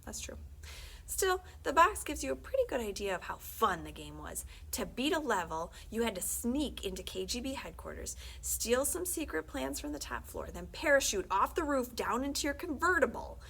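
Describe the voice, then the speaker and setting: A woman reading a children's book aloud as a librarian, conversational and expressive, often dropping into hushed, confiding tones. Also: a little high, normal speed, vocal fry, husky. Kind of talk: animated read-aloud of a children's book with brief asides.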